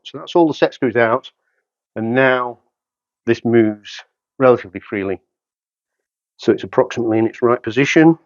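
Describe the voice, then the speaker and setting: A man's voice: mid-range voice, moderate pace, long pauses, no room sound, lo-fi sound. A man in his fifties giving a matter-of-fact, step-by-step product demonstration in short phrases while he works. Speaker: hi-fi dealer presenter. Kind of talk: hands-on product setup demonstration, talking while working. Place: carpeted indoor showroom room.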